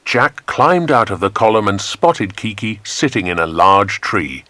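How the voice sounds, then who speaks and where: An English man narrates a children's adventure audiobook. His voice sits fairly low and moves expressively up and down. The storytelling is measured and dramatic, with a dry, close sound. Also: very animated, bright, forceful tone, dry recording.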